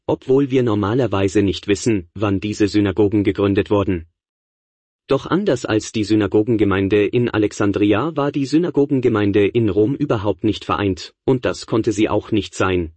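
Husky, measured male lecturer. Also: fairly low pitch, darkish tone, dry recording. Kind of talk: lecture on early church history.

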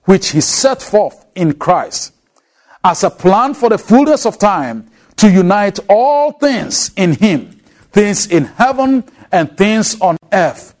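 A man with an African accent preaches slowly and deliberately. His voice sits high and swings widely. He leans on repeated words for emphasis. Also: very animated. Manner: emphatic, repeats key words, slows on phrases. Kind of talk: expository sermon.

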